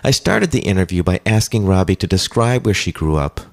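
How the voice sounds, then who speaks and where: A man hosting a radio interview program, introducing the show and asking questions in a calm, steady announcing manner. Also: sits low, brisk, gravelly. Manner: calm, steady announcing, with brief back-channel replies. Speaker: radio host.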